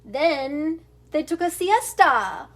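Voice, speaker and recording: Older female storybook reader, high voice, unhurried, expressive, stumbles a little and chuckles. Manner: stumbles over words, chuckles as she reads. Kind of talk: expressive children's read-aloud narration.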